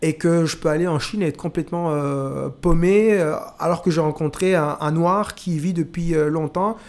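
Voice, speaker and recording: A man in his forties from Rwanda, talking slowly and hesitantly with many restarts, recorded on a studio boom mic in a small room. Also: a little mellow. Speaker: podcast host. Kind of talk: reflective conversation. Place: small room with pink walls, indoors. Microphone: studio mic on boom arm.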